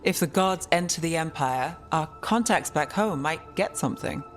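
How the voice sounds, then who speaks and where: An actor playing a cool, dry-witted investigator in a fantasy video game, wry and matter-of-fact. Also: male voice, on the high side, moderate pace, soft background music, natural voice.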